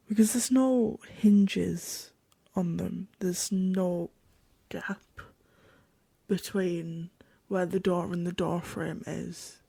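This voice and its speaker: A woman playing a curious, chatty traveller in an audio drama diary, soft and husky, with hesitant, informal phrasing and dry humour. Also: on the low side, no room sound, exaggerated voice. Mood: puzzled, wry. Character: chatty, curious female traveller journaling a strange new world.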